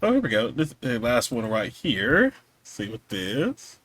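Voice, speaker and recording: A man's voice: medium pitch, wildly swooping pitch, unhurried, fairly bright tone, no echo. A man hosting a podcast in a casual, laid-back, informal way. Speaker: podcast host. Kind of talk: casual chat.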